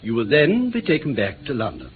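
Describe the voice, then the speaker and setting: A man's voice: mid-range voice, wildly swooping pitch, brisk. An actor playing a middle-aged, falsely genial and sinister male villain in an old British radio play, oily and coaxing. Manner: oily, coaxing, repetitive politeness. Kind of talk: mid-20th-century British radio play acting. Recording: tinny, slightly noisy old radio recording.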